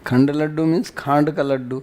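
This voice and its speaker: A man's voice: fairly high pitch, steady tone, a little fast, a little mellow, a little hiss or hum. Indian-accented man teaching scripture. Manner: short phrases, recites Sanskrit then explains. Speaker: spiritual teacher giving a scripture class. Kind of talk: scripture class, explaining Sanskrit verses.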